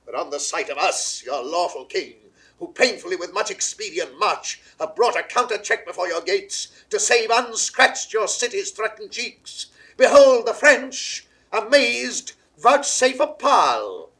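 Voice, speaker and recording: A man's voice: sits high, expressive, measured pace, sharp tone, full character voice. An actor playing an imperious, defiant English king in a classical Shakespearean audio drama, with grandiloquent old theatrical British delivery. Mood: commanding, rhetorical. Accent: British English, old theatrical received pronunciation. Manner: grandiloquent, sweeping oratory. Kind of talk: mid-century classical Shakespearean stage declamation. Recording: 1960s studio audio drama recording.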